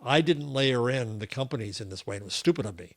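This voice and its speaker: A man's voice: mid-range voice, animated, quick pace, fairly bright tone. An older man co-hosting a podcast in casual chat, wry and digressive, telling stories. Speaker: podcast co-host.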